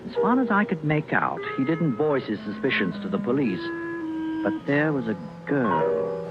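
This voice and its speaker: An actor as a fussy, sinister British doctor, old radio. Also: male voice, medium pitch, sing-song, moderate pace, close to an everyday voice. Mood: sinister, brooding. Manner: hesitant, muttering, falsely genial. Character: fussy, secretive murderous doctor narrating his confession. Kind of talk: old-time radio drama acting. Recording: dull old radio recording with music.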